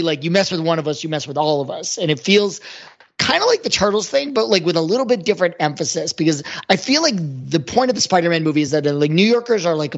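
Man thinking aloud, slightly muffled sound. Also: on the high side. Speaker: podcast panelist. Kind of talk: casual film discussion. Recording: dull, lo-fi voice track.

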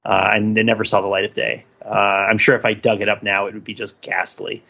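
A man's voice: lowish voice, flat delivery, a little fast, vocal fry, sharp tone, lo-fi sound. A man co-hosting a podcast in casual discussion, talking in rambling runs with many restarts and fillers. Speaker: podcast co-host.

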